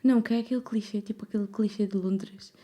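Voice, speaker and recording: A woman's voice: medium pitch, fairly even intonation, fast, a crowd in the background. A woman, a podcast guest who talks casually, often hesitating and trailing off. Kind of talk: casual conversational interview.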